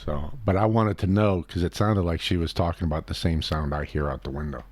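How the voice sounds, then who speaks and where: Deep-voiced guy hosting a voice chat, keeps pressing with questions, dry close sound. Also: steady tone, brisk, darkish tone, dry recording. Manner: probing, interrupts to press for answers. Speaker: host. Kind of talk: casual voice-chat discussion. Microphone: computer mic in a voice chat.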